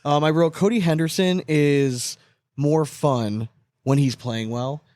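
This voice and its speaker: A man's voice: on the high side, steady tone, slow, darkish tone, no echo, studio quality. A man co-hosting a sports podcast in casual chat, candid and loose, swearing freely and laughing between points. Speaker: podcast co-host.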